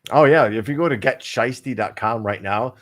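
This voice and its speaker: A man's voice: medium pitch, moderate pace, fairly bright tone. A man co-hosting a casual podcast chat, rambling with many fillers and restarts. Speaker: co-host.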